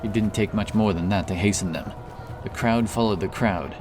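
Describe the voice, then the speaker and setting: A very low-voiced man narrates a fantasy tale. His delivery is measured and solemn, like a dramatic audiobook, with clear music playing behind him.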